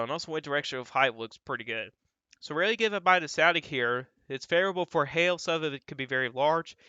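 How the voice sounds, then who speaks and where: A man's voice: a little high, pushed and bright, smooth, no echo, dull audio. A young man who sounds a little stuffy and dry in the throat, talking casually through severe weather forecast models as the host of his own weather channel. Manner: loose and conversational, repeats filler phrases.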